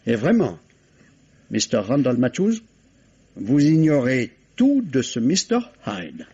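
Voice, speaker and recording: Casting: suspicious inspector, husky mid-pitched voice, very animated intonation, vintage radio drama. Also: male voice, full character voice. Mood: pointed, suspicious. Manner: measured, pointed, formal questioning. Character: formal, suspicious, insistent male police inspector. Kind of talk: vintage French radio mystery acting. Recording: dull, muffled old radio recording.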